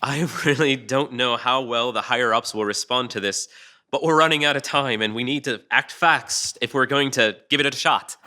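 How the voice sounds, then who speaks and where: Man acting bright-voiced, blunt, weary cop. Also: medium pitch, normal speed. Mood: urgent. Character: weary, scheming Irish male cop, blunt and sardonic. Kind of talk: dramatic table read acting.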